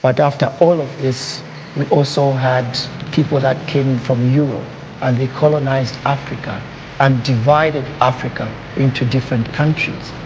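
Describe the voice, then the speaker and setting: Gravelly man, measured talk. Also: medium pitch, fairly even intonation, dull audio, background noise. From Zambia. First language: Lugala. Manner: thoughtful, measured, with pauses and fillers. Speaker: artist. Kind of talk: documentary interview. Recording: interview with loud music behind.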